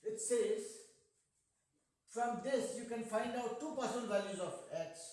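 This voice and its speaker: A man's voice: very high voice, unhurried, darkish tone. A middle-aged man with an Indian accent teaching a math class, asking his students questions and cracking jokes. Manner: joking, asks students questions. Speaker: math tutor. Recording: echoey room.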